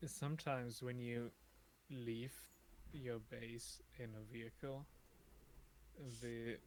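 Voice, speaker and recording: A man with an average-pitched voice talks casually on a game stream. He is wry and gently teasing. A little background noise sits under his voice. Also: steady tone, takes it slowly, low-quality recording. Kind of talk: casual chat on a gaming stream.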